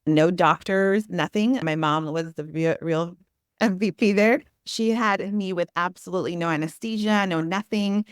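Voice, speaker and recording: A woman with a low, dark-toned voice, raised in the Amazon with Portuguese as her first language. She tells her stories in a loose, excitable flow, full of "like" and restarts. The close mic sounds dry, with no room echo. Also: normal speed, clean tone. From Brazil, Amazon region. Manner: rambling, animated, many restarts and "like". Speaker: podcast guest. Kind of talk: casual interview storytelling.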